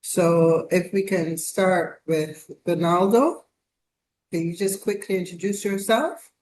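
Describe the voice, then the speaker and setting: A woman's voice: lowish voice, slow, darkish tone, a little hiss or hum, low-quality recording. A woman hosting an online meeting, calling on each participant in turn and stumbling over a few names. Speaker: host. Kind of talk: online meeting roll call. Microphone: video call.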